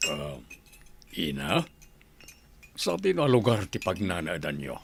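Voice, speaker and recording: A man's voice: sits low, huge pitch swings, takes it slowly, creaky, a little hiss or hum, exaggerated voice. A man playing a helpful, courteous husband and aspiring politician in an Ilocano radio drama.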